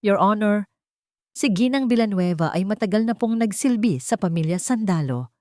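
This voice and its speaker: A woman's voice: medium pitch, lively intonation, moderate pace, dark tone, no room sound, studio quality, pitched above the performer's own voice, close to an everyday voice. A woman voicing a firm, composed attorney addressing a court in a dramatic audio story. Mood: formal, assured. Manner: firm, formal.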